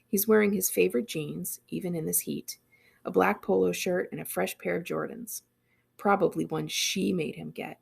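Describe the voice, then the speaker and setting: A woman reading a novel aloud as a librarian, in expressive first-person narration. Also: fairly low pitch, gravelly, breathy edge.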